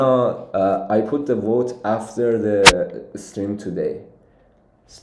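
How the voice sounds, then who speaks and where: A man's voice: low-pitched, warm tone, echoey room. Iran-born man explaining code problems. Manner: thinks aloud with frequent fillers and restarts. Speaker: competitive programming streamer. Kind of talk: live solution walkthrough.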